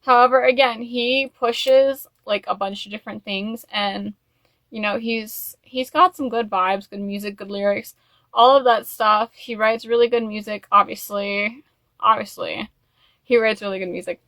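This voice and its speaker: A woman talks casually to her viewers as the host of a video. Her voice is bright and forward, recorded dry with little room sound. She rambles in a gushing fan manner, peppering her talk with "like" and "you know.". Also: on the high side, fairly even intonation, normal speed, no echo. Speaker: YouTube host. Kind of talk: casual chat to camera, music album review.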